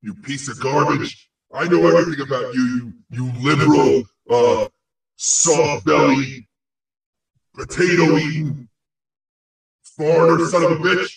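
A man acts out an irascible, conceited ghost for a comic audio play. The voice is gravelly and fairly high for a male, blustering and sputtering with insults. It comes drenched in echo, set back from the microphone. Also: unhurried, long pauses. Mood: contemptuous. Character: angry, boastful, insulting male ghost with a cough. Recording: very echoey, distant microphone.

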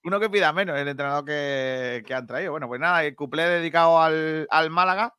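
A man hosting a live radio show, chatting in a relaxed way about carnival songs. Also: a little high, a little fast, clean tone, no echo, clean studio sound. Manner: chatty, relaxed, trails off and restarts often. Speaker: radio host.

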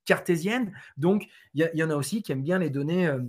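A man from France with a fairly high voice answers interview questions in relaxed conversation. He hesitates a lot, filling gaps and restarting his sentences as he explains. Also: fast, breathy edge. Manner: hesitant, many fillers and restarts. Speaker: Trackman representative on golf tours.

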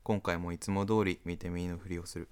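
A man acting as an aloof, put-upon young man in a radio drama, narrating and talking in a reserved, deadpan manner. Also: low-pitched, fairly quick, very noisy, natural voice. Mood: deadpan, weary. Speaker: narrator and lead character.

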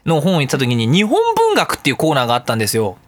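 A man's voice: mid-range voice, wildly swooping pitch, racing, a bit bright, no room sound. A middle-aged man hosting an internet radio show, chatting casually and comically in a geeky ramble and acting out quotes as he goes.

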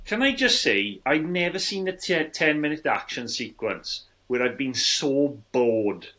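A man's voice: mid-range voice, animated, measured pace, pushed and bright. A man in his forties bantering casually as a podcast host, with a wry, teasing manner. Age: 40s. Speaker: film review podcast host.

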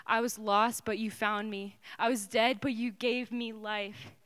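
A young woman performing dramatic spoken word poetry in a slow, solemn way that often drops to a hush. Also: fairly high pitch, flat delivery, clean tone.

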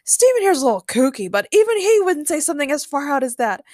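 Woman doing an incredulous, high-pitched male shop boss. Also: big pitch swings, quick pace, a little mellow, dry recording, clean studio sound. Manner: incredulous, emphatic. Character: exasperated, mocking, loud male gift shop boss.

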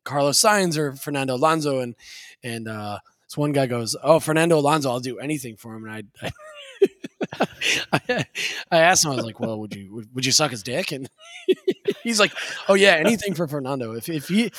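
Male podcast co-host, a touch raspy, loose and laughing, mid pitch, dry booth sound. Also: expressive, fairly quick, a bit bright, no room sound. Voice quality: a little raspy. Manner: loose, laughing storyteller with many restarts. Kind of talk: casual chat.

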